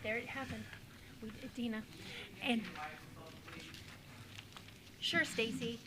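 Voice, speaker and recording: A woman's voice: a little high, fairly even intonation, slow, crowd noise, low-quality recording. A woman council member hesitantly asking the council whether anyone has questions. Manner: hesitant, asks around the table. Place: council chamber.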